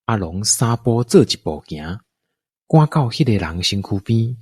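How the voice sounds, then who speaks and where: A man's voice: on the low side, big pitch swings, measured pace, darkish tone, slightly rough, dry recording. A man with a Taiwanese accent narrating a scripted radio drama as a storyteller.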